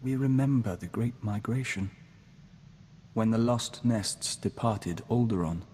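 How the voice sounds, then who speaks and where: Male actor as calm insect-hive emissary, formal, measured, lowish voice, video game dialogue, long hall reverb. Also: a little hiss or hum, lightly acted. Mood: earnest, dreamy. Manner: formal, measured, speaks of himself as we. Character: calm, formal young man joined to an insect hive mind. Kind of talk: scripted video game voice acting.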